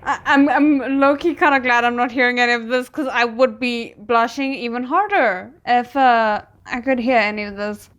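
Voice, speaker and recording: A woman giving a casual live reaction to a drama series, thinking aloud in an informal, expressive way. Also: on the high side, moderate pace, hardly any pauses. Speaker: reaction video host.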